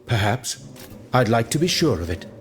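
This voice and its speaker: An actor playing an earnest, thoughtful scientist of old France in a video game, speaking in a formal, reflective way. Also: male voice, medium pitch, big pitch swings, normal speed, faint music behind, natural voice. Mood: quiet doubt. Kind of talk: video game cutscene dramatic acting.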